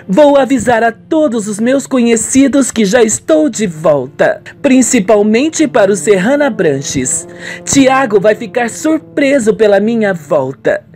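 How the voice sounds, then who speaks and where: An actress plays a headstrong young Brazilian woman in a radio soap. Her voice sits fairly high and swings widely as she stretches her phrases with emphasis, over faint music. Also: animated, unhurried, darkish tone. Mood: determined. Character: headstrong, scheming young woman, a rich farmer's daughter. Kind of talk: amateur radio soap opera acting.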